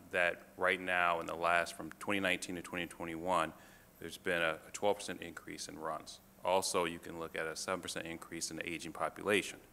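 A man presenting to a city council as a fire department official, halting, with many fillers and restarts. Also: low-pitched, gravelly, pushed and bright. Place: council chamber. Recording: reverberant chamber, faint room noise.

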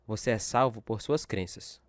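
A man's voice: lowish voice, normal speed, dry recording, clean studio sound, natural voice. An actor playing a middle-aged, scornful and domineering father in an audio drama. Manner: sardonic, domineering. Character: gruff, scornful father of a grown son.

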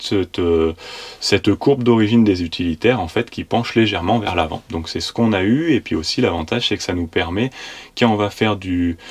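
Man, thirties, lowish voice, casual hesitant talk, lots of fillers, inside a van, camera mic. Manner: hesitant, many fillers, laughs at himself. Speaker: vanlife vlogger. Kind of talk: casual explanatory chat.

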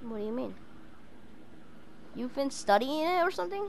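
A woman's voice: high-pitched, lively intonation, normal speed, creaky. A woman improvising role-play in a game voice chat, with a blunt, teasing way of talking. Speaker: player in a role-play gaming session. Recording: noisy home headset game chat.

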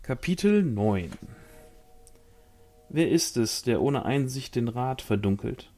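A man's voice: mid-range voice, animated, slow, warm tone. A man reading scripted audiobook titles and quotations as an announcer. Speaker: audiobook reader.

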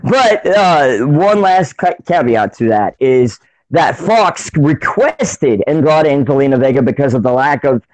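A man co-hosting a casual wrestling podcast, excitable, laughing often and tripping over his words. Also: a little high, a little slow, no echo, low-quality recording. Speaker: podcast co-host.

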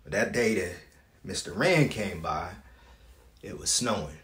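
Deep-voiced wounded son acted by a man, echoey room. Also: expressive, a little slow, fairly bright tone. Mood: pained, intense. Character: wounded, proud grown son confronting his father. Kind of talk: audition stage monologue, emotional acting.

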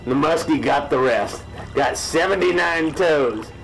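A man's voice: on the high side, unhurried, low-quality recording. Man playing a grave old-salt fisherman over music. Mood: grave, haunted. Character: grizzled veteran muskie fisherman recounting a grim ordeal, spoofing a salty sea hunter. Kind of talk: parody radio drama monologue.

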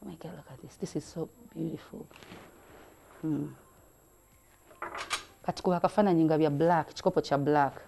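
A woman's voice: deep voice, fairly even intonation, normal speed, creaky, pauses often, noisy background. A middle-aged woman hosting a hands-on craft demonstration indoors, chatty and encouraging, switching between languages as she works. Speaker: craft show host. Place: indoor room at a craft table.

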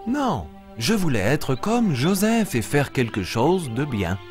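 Eager, animated young lion storyteller. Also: male voice, on the high side, takes it slowly, soft background music. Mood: earnest. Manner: expressive, simple and clear telling. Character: eager young boy lion, cheerful storyteller of Bible tales. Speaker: storyteller of a children's show. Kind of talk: animated storytelling for small children.

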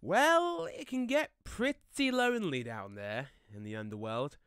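A man's voice: on the high side, measured pace, fairly bright tone, smooth, no echo, clean studio sound. A man acting in an audio play as a jokey, laid-back ghost with a casual, slangy, rambling way of talking. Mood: chatty, wistful. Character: chatty, jokey young male ghost, laid-back slacker.

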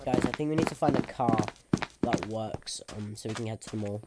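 A man's voice: fairly low pitch, fairly quick. A young man doing casual improvised role-play in a game, speaking hesitantly and mumbling a little. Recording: very noisy mic with clicks.

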